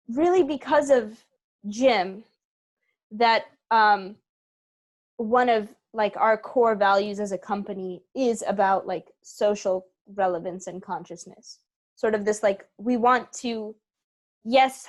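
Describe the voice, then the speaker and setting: Woman on a video call interview, normal mid pitch, chatty, sorta thinks out loud as she goes. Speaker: interview guest, theater company member. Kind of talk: casual interview chat.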